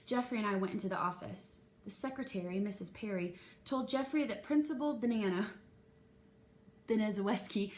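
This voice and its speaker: A woman reading a children's novel aloud as a first-person storyteller, dramatizing the young narrator's feelings expressively. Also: mid-range voice. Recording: echoey room with faint hiss.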